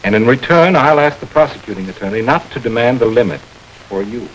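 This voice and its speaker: A man voices a steady, no-nonsense detective in an old radio crime play. His pitch sits in the middle, his questions come firm and measured. A faint hiss runs under the vintage recording. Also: close to an everyday voice, poor audio. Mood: stern. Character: stern middle-aged male police investigator. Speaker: police lieutenant. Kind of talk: 1940s radio acting.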